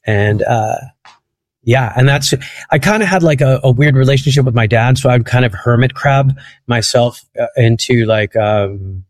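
A man's voice: mid-range voice, moderate pace. A man from Egypt, a comedian guest on a podcast, telling stories in a rambling way and laughing at them. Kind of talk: casual interview chat.

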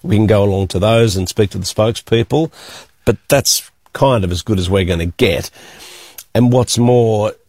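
Male foreign correspondent, lowish voice, dry close recording, deliberate. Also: a little mellow, no echo. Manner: candid, slow and deliberate. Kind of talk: news reporting conversation.

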